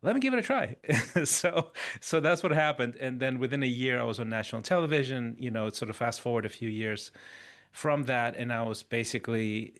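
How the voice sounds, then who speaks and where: Man, 50s, podcast guest, animated storytelling, restarts phrases, mid pitch. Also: brisk. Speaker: interview guest. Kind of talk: conversational storytelling.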